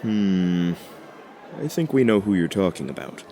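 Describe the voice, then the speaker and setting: A man playing a generous, easygoing high-school jock in a comic fan dub. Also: animated, a little slow, dark tone. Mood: helpful. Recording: voice over prominent background music.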